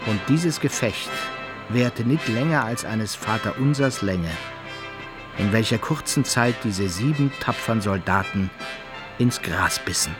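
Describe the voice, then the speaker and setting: An actor playing an adventurer who recounts his rough exploits in an articulate storytelling manner, in archaic German for a radio play. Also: on the low side, animated, unhurried, music in the background. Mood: narrating, grim.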